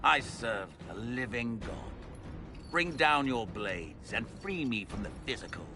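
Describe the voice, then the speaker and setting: An actor playing an arrogant, fanatical captive lord in a video game, haughty and contemptuous in a theatrical way. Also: male voice, medium pitch, expressive, measured pace, music in the background, natural voice. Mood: defiant, scornful.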